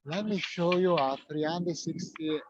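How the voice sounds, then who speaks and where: A man's voice: fairly high pitch, measured pace, a crowd in the background. A man tour guide speaking outdoors in a city square on a phone camera, playful and joking. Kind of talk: live virtual tour. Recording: dry lo-fi phone audio.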